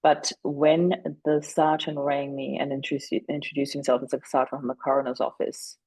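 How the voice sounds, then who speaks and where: Woman in her forties, German speaker, podcast guest; gravelly, even-toned delivery, earnest and measured; dry close-mic sound. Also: very deep voice, no room sound, poor audio. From Austria. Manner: earnest and deliberate, slowing down on key moments. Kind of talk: reflective storytelling.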